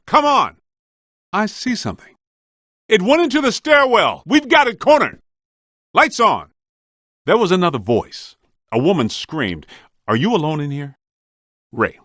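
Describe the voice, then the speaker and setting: An actor playing a streetwise, wisecracking, grumbling ghost hunter in a scripted video game. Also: male voice, medium pitch, a bit bright, no echo, clean studio sound. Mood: urgent shouting. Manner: wry, streetwise, complaining.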